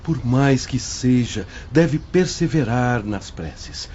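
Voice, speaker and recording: A kind, patient spirit counselor speaks gently and reassuringly. His voice is mellow and mid-pitched. The audio is dull, with faint noise behind. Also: animated, slow. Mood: gentle counsel. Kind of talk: audio drama acting.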